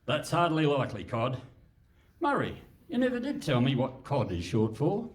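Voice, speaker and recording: Man acting a lively, cocky crook mastermind. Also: medium pitch, moderate pace, distant mic. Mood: breezy, dismissive. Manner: breezy, dismissive, literal. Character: cocky, scatterbrained self-styled criminal mastermind. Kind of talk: comic live radio theatre. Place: hall stage before live audience.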